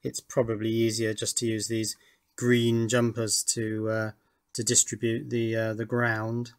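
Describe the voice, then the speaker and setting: A man's voice: on the low side, completely flat delivery, measured pace. A man hosting a hobby electronics video, talking through his build unscripted in a matter-of-fact, technical and self-critical way. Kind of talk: unscripted workbench explanation.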